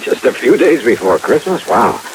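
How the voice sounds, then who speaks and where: A man's voice: medium pitch, big pitch swings, normal speed, close to an everyday voice, rough recording. A man acting a wry, hard-boiled insurance investigator in a 1950s radio drama, with dry, punchy wisecracks, on a hissy old recording. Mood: incredulous.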